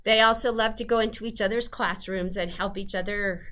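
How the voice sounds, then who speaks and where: A woman's voice: medium pitch, fairly even intonation, fairly quick, a bit bright, slight background noise. Woman principal type talking earnest on a webinar, normal mid voice. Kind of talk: webinar talk.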